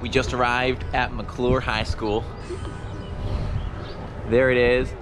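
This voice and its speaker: A man's voice: medium pitch, flat delivery, takes it slowly. Male narrator over prominent music. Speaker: narrator of a nature education video. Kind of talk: educational video narration.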